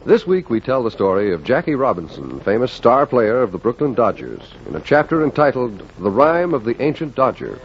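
Man, formal old-time radio announcer, animated delivery, dull archival sound. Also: medium pitch, a little fast, few pauses, a little hiss or hum. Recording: muffled 1940s radio recording with music. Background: music.

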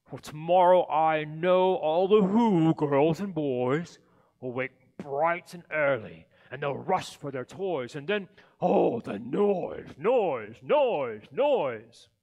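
A man's voice: a little high, lively intonation, slow pace, a little mellow, muffled recording, exaggerated voice. A man voicing a sour, scheming creature who hates Christmas, snarling and emphatic as in a storybook reading. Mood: irritated, dreading. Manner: snarling, growling, emphatic repetition. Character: sour, hateful, scheming grumpy male Christmas-hating creature.

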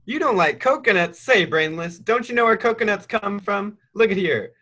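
Twelve-year-old boy, teenage male actor: very high, bright, forceful voice, cocky yet polite, radio play delivery. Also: animated, moderate pace, full character voice. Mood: cheerful, boastful.

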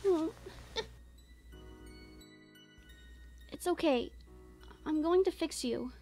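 A woman voicing a gentle, determined human child in a comic dub, earnest and pleading. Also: very high-pitched, measured pace, soft background music. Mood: tender. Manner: soft-hearted, earnest, pleading.

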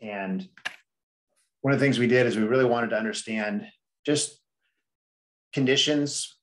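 A middle-aged man presenting a planning study to a public meeting over a video call, measured and explanatory, with frequent "um" and "you know". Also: mid-range voice, a little hiss or hum. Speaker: district staff presenter.

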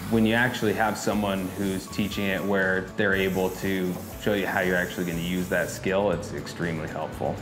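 Easygoing young man, low voice. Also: fairly quick, few pauses. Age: 20s. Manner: relaxed, easygoing, with fillers. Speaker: welding business owner. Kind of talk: documentary interview. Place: indoor fabrication shop office. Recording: roomy shop echo, music under.

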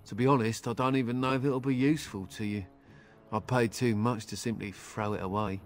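A man acts out a luckless fantasy merchant from a video game, with a mid-pitched voice. He is eager and flowery in his courtesy, yet weary and hesitant as he wheedles. Faint music plays behind him. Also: steady tone, a little fast, close to an everyday voice. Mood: coaxing. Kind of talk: video game dialogue acting.

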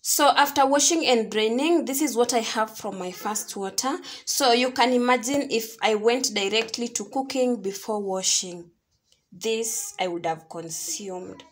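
A woman's voice: on the high side, a little slow. A Kenyan woman giving step-by-step cooking instructions in an explanatory tutorial style. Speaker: home cook, video host.

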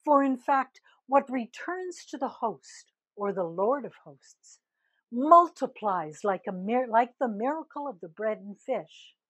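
An older woman minister giving a sermon, speaking slowly and deliberately and stressing key words. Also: on the high side, lively intonation, slightly rough, no room sound. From Canada. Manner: slow, deliberate preaching with emphatic rises on key words.

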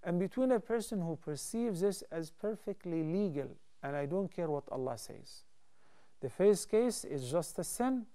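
A man in his thirties, a studio TV scholar, answering callers' questions with calm, measured explanations into a lapel mic. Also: high-pitched, smooth. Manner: calm, measured, explains step by step with hand gestures. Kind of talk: studio call-in Q&A advice.

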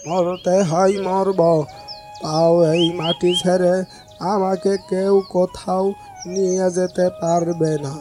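An actor playing a sorrowful, stubborn old village elder in an animated folk tale, speaking in a lamenting way. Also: medium pitch, takes it slowly, lightly acted. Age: older. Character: oldest man of the village, sorrowful, stubbornly attached to home. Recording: music behind the voice.

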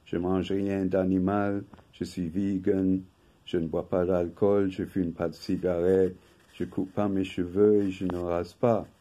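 An older man, native English speaker from England, reading a prepared talk from paper in a slow, measured way at home. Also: sits low, dark tone. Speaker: video host. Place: home room with bookshelf.

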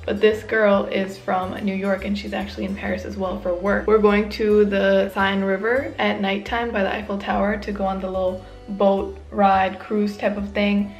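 A woman's voice: mid-range voice, little pitch movement, moderate pace, hardly any pauses, faint music behind. A woman, chatting informally to camera as a vlogger. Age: 20s. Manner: chatty, informal, youthful phrasing. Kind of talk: casual vlog chat to camera.

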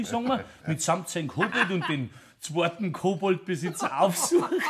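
Highish-voiced Bavarian prankster. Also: male voice. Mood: gleeful. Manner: chuckling, conspiratorial. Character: mischievous tradesman at a pub regulars' table, scheming prankster. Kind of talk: dialect radio play acting.

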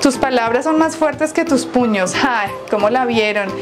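High-voiced playful woman vlogger. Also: moderate pace, music in the background. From Colombia. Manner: chatty, playful, laughs at her own jokes. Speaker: vlogger touring a restaurant. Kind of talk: casual walk-through vlog. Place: large colorful restaurant interior, indoors. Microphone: lapel mic.

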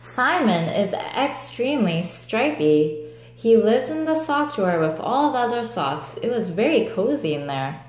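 Woman reading a kids picture book, highish voice, unhurried, echoey room. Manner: expressive, deliberate. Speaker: storyteller. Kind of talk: children's picture book read aloud. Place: indoors at a table, echoey room.

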